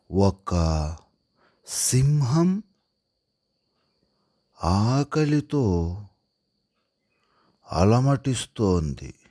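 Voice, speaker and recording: A man reading a story aloud as a handwriting lesson, in a deliberate dictation style, pausing after every word or short phrase. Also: lowish voice, dark tone, dry recording, clean studio sound. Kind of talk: slow dictation-style storytelling read aloud while writing.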